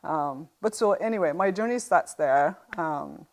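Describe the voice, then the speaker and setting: A middle-aged woman with an East African accent shares her story in an interview. Her voice sits very low and crackles with vocal fry. She speaks conversationally, stopping often to find her words. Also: expressive, unhurried. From Uganda. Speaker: interview guest sharing a health experience. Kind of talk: conversational personal testimony.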